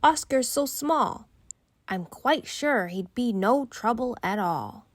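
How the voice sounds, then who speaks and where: A woman's voice: fairly high pitch, animated, slow, no echo, studio quality, pitched above the performer's own voice. A woman voicing a kind, earnest child in a children's storybook, speaking eagerly and emphatically. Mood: pleading. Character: kind, earnest child narrator pleading for a friend.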